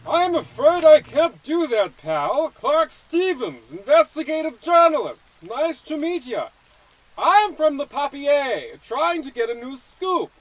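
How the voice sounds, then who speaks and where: Very high-pitched brash reporter. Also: male voice, very animated, slow pace, heavily acted, low-quality recording. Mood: brash, eager. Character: brash, scoop-hungry old-time male newspaper reporter. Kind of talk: old-time radio comedy acting.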